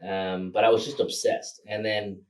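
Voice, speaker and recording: A man's voice: lowish voice, flat delivery, normal speed, recorded from a distance. A man from Rwanda, Kinyarwanda his first language, talking loosely as a filmmaker guest with many fillers and laughs. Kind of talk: casual interview.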